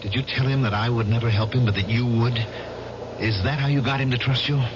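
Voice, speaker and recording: A man's voice: medium pitch, steady tone, brisk, natural voice. An actor playing a determined male war veteran who presses for a confession, in 1970s radio drama style. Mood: accusing. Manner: insistent, interrogating. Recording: dull old radio broadcast with hiss.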